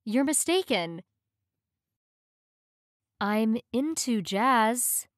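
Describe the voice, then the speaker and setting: A woman reads short practice phrases one at a time in a clear, measured voice. She speaks very slowly and sits a bit high in pitch. The recording is dry and close, with no room sound. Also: expressive, dry recording. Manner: clear, measured, each phrase read twice. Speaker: English phrase reader. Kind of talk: slow, careful reading of practice phrases.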